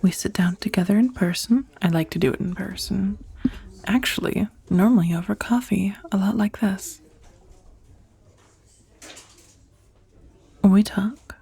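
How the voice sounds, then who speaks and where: A woman playing a professional dominatrix on a blind date in a soft-spoken ASMR roleplay, breathy and often near a whisper, confiding and teasing. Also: medium pitch, normal speed, slight background noise, natural voice. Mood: confiding, calm. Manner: hesitant, confiding, teasing, with sighs. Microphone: close, dry ASMR microphone.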